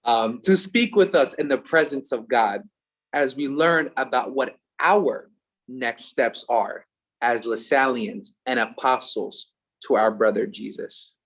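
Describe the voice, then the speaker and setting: A man's voice: medium pitch, a little slow, a bit bright. A man with a Philadelphia accent co-hosting an online event, giving humble thanks mixed with short Spanish phrases and casual asides. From USA.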